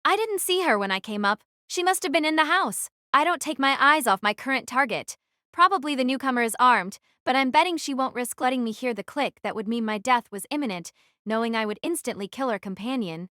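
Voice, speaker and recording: A woman's voice: high voice, lively intonation, a little fast, sharp tone, dry recording, studio quality. A woman playing a guarded, wary teenage girl archer who narrates her own story in an audio drama. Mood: wary, tense.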